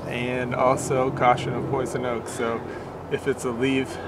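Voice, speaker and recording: Brief: young male co-host joking on a trail, mid pitch, noisy handheld sound. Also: level tone, measured pace. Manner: joking, upbeat. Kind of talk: casual hiking vlog chat. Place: outdoors on a steep mountain trail. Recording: noisy outdoor camera audio.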